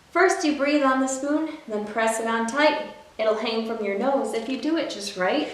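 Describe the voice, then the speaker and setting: A woman reading a rhyming picture book aloud for young children in an expressive storyteller's delivery. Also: high-pitched. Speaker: library storyteller. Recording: very echoey room, distant mic, faint noise.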